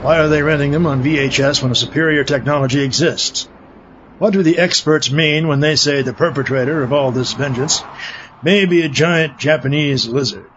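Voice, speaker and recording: An actor playing an earnest, clueless field reporter in a parody newscast. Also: male voice, a little high, normal speed, a bit bright, highs cut off, a little hiss or hum, natural voice. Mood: self-serious.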